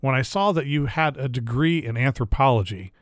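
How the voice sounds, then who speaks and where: A man's voice: mid-range voice, lively intonation, fairly quick, no room sound. Man, podcast host, casual interview, curious, chatty, dry close sound. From Indiana area. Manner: chatty, curious, restarts phrases often.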